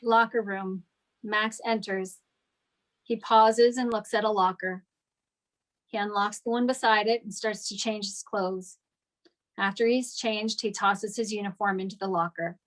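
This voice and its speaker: A woman's voice: medium pitch, fairly even intonation, measured pace, poor audio. A woman narrating scripted stage directions at a table read.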